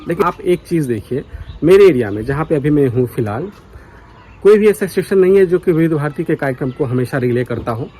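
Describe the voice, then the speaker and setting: Mellow-voiced Indian man vlogging earnestly. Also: on the high side, lively intonation, fairly quick. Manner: earnest, mixes in English words. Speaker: vlogger, radio enthusiast. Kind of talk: casual vlog talk to viewers. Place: outdoors on a foggy morning.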